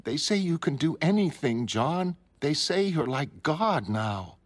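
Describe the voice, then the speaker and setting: Man voicing an anxious woman, pitched way up. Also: sing-song, no room sound. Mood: frightened, uneasy. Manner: halting, anxious. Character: frightened, emotional young woman, the superhuman's girlfriend.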